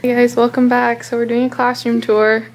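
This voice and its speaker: High-voiced young woman vlogging. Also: completely flat delivery, normal speed, slight background noise. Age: young adult. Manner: informal, slangy. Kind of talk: casual vlog chat. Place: bedroom, later a school classroom. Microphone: handheld camera at arm's length.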